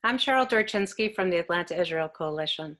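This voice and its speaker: A woman's voice: on the low side, expressive, fast talker, a bit bright. A woman hosting a web talk from a room at home, reading polished prepared questions over a video call. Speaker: host. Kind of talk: scripted web talk moderation.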